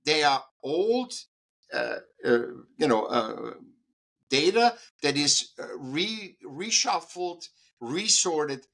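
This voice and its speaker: A man's voice: fairly high pitch, sing-song, slow, a bit bright. A man, a guest artist and educator, talking in an interview in an emphatic way, restarting sentences and repeating points for stress. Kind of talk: conversational interview.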